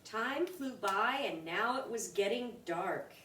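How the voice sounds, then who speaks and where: A woman reading a rhyming children's picture book aloud in an expressive, deliberate way for young listeners. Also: medium pitch. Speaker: storybook reader. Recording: roomy, distant mic with background noise.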